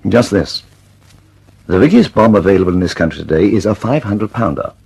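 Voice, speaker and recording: A man's voice: fairly low pitch, animated, fairly quick, gravelly, darkish tone, slightly rough, exaggerated voice. An actor playing an earnest, impatient British male inventor in a radio drama, speaking emphatically and precisely. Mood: emphatic.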